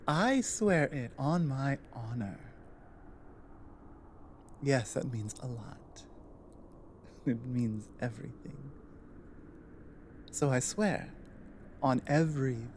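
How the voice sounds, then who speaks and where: A woman playing a guarded but loyal female orc warrior in an ASMR roleplay, speaking in a halting, earnest, plainly worded way. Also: very deep voice, darkish tone, slight background noise, exaggerated voice. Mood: earnest, tender.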